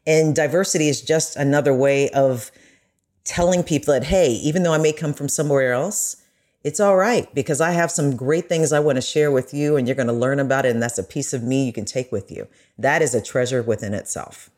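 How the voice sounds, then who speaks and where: Female guest, deep register. Reflective, sincere, enthusiastic conversational delivery. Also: fairly quick, darkish tone. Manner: earnest, enthusiastic. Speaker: podcast guest, journalist. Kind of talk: casual reflective discussion.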